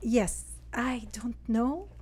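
Syrian-accented woman, earnest and halting. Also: fairly high pitch, lively intonation, darkish tone, breathy edge. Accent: Syrian Arabic. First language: Arabic. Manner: earnest, halting, frequent fillers and restarts. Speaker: guest, real estate agent. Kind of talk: podcast interview answers.

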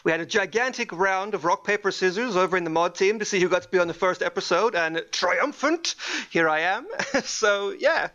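A man's voice: sits high, animated, a little fast, pushed and bright, lo-fi sound. A man from Finland, a moderator chatting and joking on a podcast. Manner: joking, chatty, laughs often. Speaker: subreddit moderator. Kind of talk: casual podcast chat.

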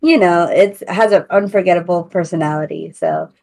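Raspy woman with a lowish voice. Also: normal speed, a little mellow. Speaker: podcast panelist. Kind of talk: casual chat about films.

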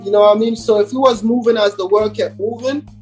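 A man's voice: sits high, steady tone, quick pace, darkish tone, faint music behind. A man from Haiti talking casually as a hip hop artist guest on a podcast, in slangy, repetitive, emphatic phrases.

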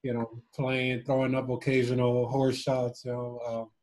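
Relaxed, even-toned young man. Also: medium pitch, moderate pace, rough recording. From Cuba and Miami. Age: 20s. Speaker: interview guest, former basketball player. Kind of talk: casual reflective interview chat.

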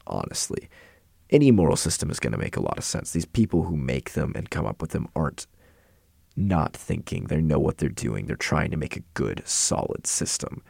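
A young man, hoarse from illness and yelling, casually explaining moral philosophy in a rambling, self-correcting way. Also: low-pitched, no room sound, studio quality.

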